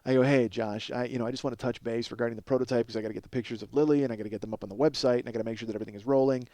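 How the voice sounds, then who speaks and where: A man's voice: medium pitch, steady tone, rapid-fire, mellow, dry recording. A man in his forties hosting a comedy podcast, telling a rambling, self-deprecating story with profanity and acted-out dialogue. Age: 40s. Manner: rambling, self-deprecating, acts out both sides of conversations. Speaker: podcast host.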